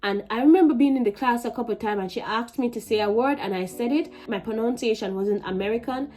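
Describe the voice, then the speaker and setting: Animated Jamaican woman telling a story. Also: a little high, fast talker. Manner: animated, emphatic storytelling with many restarts. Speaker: vlogger. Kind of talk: storytime vlog.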